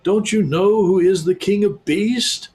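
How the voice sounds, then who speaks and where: A man reading aloud and voicing an excitable, earnest male beaver who talks emphatically. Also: sits high, a little slow, voice pushed up. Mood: eager.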